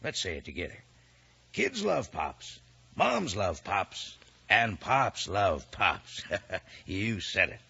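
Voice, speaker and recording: A male announcer delivers folksy, emphatic old-time radio advertising. His voice is lowish and husky, with big melodic swings, on a slightly dull vintage recording. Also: sing-song, slow, pushed and bright. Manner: folksy, emphatic sales patter. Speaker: announcer and sponsor pitchman. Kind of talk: 1950s radio cereal advertising aimed at children.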